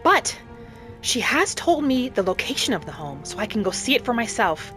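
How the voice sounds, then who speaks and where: Soft, dreamy woman as a wood elf wanderer, music behind. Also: a little high, big pitch swings. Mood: purposeful. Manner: soft, unhurried, dreamy, earnest. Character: gentle, hopeful young wood elf woman adventurer. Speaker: roleplay series host. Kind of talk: in-character scripted narration, storytelling.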